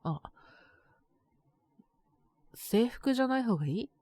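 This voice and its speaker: A woman playing a boyish, slightly mean but doting teenage girlfriend in a romantic audio drama, speaking in a soft, intimate, teasing way. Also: on the high side, lively intonation, slow pace, no room sound. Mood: affectionate. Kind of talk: Japanese romantic situation voice acting.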